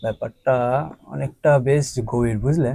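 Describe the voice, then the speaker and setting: A man's voice: mid-range voice, fairly even intonation, normal speed, gravelly, mellow, no echo, clean studio sound. A man making a casual prank phone call in a teasing, evasive way. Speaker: prank caller.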